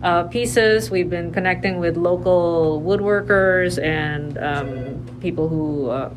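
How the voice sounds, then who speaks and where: Woman kinda low voice, hesitant, being interviewed with noticeable background noise. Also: takes it slowly. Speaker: garden staff interviewee. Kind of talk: conversational interview. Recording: clear ambient background noise.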